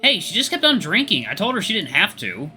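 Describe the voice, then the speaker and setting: A sulky young prince, played by a male narrator, defiant and petulant. His voice is bright and sharp, with a storybook delivery and music behind it. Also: high-pitched, huge pitch swings, brisk, pitched above the performer's own voice, full character voice. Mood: defensive, defiant. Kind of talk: storybook character voice.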